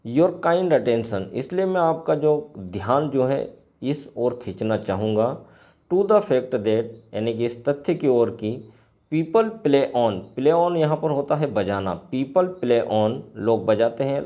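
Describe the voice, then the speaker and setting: A man with an Indian accent, a native Hindi speaker, teaching line by line and repeating phrases deliberately as he translates. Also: medium pitch, mellow. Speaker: English teacher. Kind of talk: line-by-line bilingual lesson, reading and translating.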